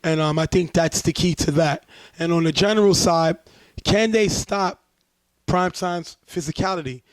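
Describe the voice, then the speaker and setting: A man hosting a casual sports talk show, a bit hoarse as he loses his voice, breaking down plays in a chatty way. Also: a little high, normal speed, no room sound. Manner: relaxed, chatty play-by-play breakdown. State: losing his voice. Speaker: show host, sports commentator.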